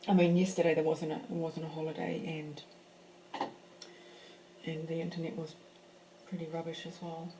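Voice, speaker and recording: A woman's voice: low voice, flat delivery, moderate pace, pauses often, mellow, slight background noise, poor audio. A woman teaching a live painting class from her home, chatting casually as she works and thinking aloud with fillers and restarts. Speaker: art teacher. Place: home in a French village.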